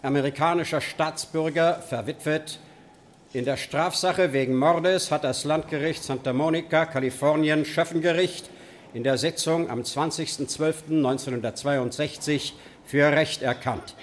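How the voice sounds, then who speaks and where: An actor playing a stern judge delivering a verdict in formal, measured tones in a radio play. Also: male voice, fairly high pitch, fairly even intonation, a little hiss or hum, lightly acted.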